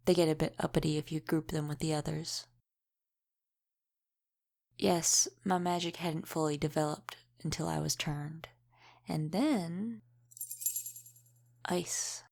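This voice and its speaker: Centuries-old female vampire mentor, played by a woman: low register, guarded, measured, dryly matter-of-fact. Audio roleplay. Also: vocal fry, darkish tone. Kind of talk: scripted audio roleplay, addressed to the listener.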